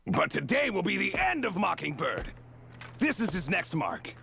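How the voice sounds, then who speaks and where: A man's voice: sits high, very animated, moderate pace, creaky, background noise, full character voice. A man playing an exasperated, vengeful police investigator in a dramatic game trailer, with emphatic delivery. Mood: agitated, angry. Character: frustrated, vengeful police investigator hunting a phantom thief. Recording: loud music over the voice.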